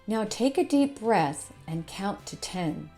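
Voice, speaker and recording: A woman's voice: medium pitch, animated, slow, warm tone, faint music behind. A woman voicing a curious, helpful monkey who coaxes and instructs in a children's storybook. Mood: advising. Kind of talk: children's storybook read-aloud.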